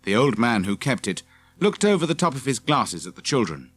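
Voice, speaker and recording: A man's voice: medium pitch, very animated, brisk, fairly bright tone. A man reading scripted children's story narration as an audiobook narrator.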